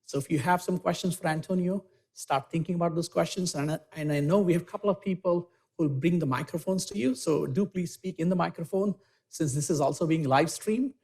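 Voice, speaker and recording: A man hosts an event on a university auditorium stage, his voice sitting a little high for a man. He introduces and questions his guest formally and eagerly, with a few "uh"s along the way. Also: a little fast. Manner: warm, eager, formal with small hesitations. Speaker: moderator and university IT executive. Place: university auditorium, live audience.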